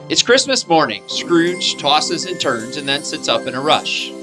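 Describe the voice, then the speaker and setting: A man's voice: fairly high pitch, animated, measured pace, over music, poor audio. A man narrating a scripted radio play with dramatic storytelling delivery.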